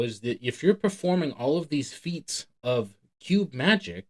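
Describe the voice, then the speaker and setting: Guy reading a book aloud and chatting about it, really animated pitch, rambles, leans hard on words. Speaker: host of a magic channel. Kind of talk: read-aloud with casual commentary.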